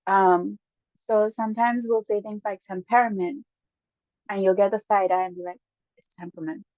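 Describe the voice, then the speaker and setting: A woman with a Guyanese accent, a guest casually telling stories with lively bursts and frequent laughs. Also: medium pitch, no room sound. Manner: lively, laughs often, quick bursts when excited. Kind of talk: casual storytelling chat. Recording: dull lo-fi dry sound.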